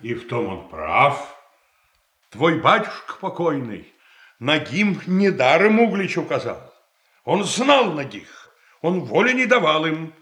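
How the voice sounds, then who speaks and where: An actor playing a blunt, grumbling courtier in a radio tragedy, folksy, stubborn and sneering. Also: male voice, a little high, big pitch swings, slow pace, fairly bright tone, echoey room, exaggerated voice. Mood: scornful. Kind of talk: Russian stage tragedy as radio play.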